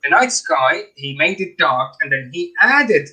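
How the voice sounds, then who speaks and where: Bright-voiced Iraqi-accented man. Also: fairly high pitch, sing-song, unhurried. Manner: hesitant, many fillers and restarts. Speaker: co-host. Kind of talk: casual commentary on scripture.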